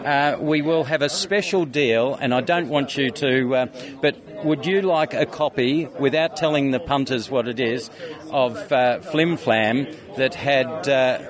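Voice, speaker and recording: Man, Australian accent, casual interviewee, rambling and hesitant, medium pitch, busy crowd behind. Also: unhurried. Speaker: interviewee, skeptics convention organiser. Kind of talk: casual chat. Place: busy convention venue. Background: crowd chatter.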